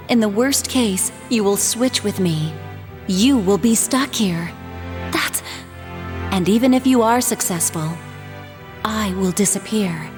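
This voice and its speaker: High-voiced earnest young magician played by a woman, echoey. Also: natural voice. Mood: tense, resolute. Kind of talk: English-dubbed fantasy game cutscene acting and narration. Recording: roomy echo, game music behind.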